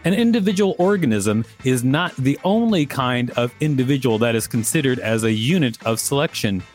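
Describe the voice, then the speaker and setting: A man co-hosts a reading podcast, his mid-range voice rising and falling freely as he works through the article. He slips in playful little asides between passages. Faint music sits quietly beneath him. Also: medium pitch, lively intonation, normal speed. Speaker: podcast co-host. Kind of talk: reading an article aloud with banter.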